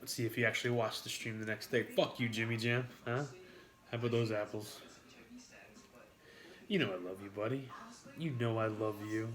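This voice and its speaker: A man's voice: fairly low pitch, steady tone, normal speed, pauses often. A man with a New York accent eating on a live stream, rambling to his chat with frequent swearing and jokes. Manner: rambling, profane, jokey with chat.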